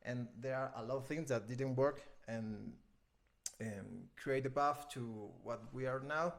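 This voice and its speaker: A Venezuelan man in his thirties, a native Spanish speaker, talking hesitantly in an interview and often pausing to find his words. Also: medium pitch, creaky. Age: 30s. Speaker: interview guest, film editor. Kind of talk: casual interview conversation.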